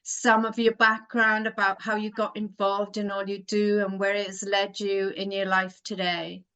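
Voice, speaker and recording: A woman's voice: medium pitch, little pitch movement, pushed and bright, clean tone, poor audio. A woman hosting a wellness interview, introducing her guest in a careful, welcoming way. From Australia. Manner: slow, careful, welcoming delivery. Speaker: podcast host.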